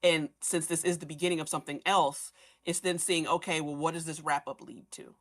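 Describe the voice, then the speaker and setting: A woman in a casual fan discussion, animated and given to acting out imagined remarks. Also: sits low, fairly quick. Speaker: podcast guest discussing an audio drama.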